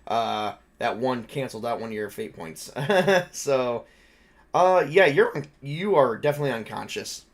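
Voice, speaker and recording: A man's voice: medium pitch, animated, unhurried. A man acting as game master, narrating a tabletop game casually and dramatizing events in informal words.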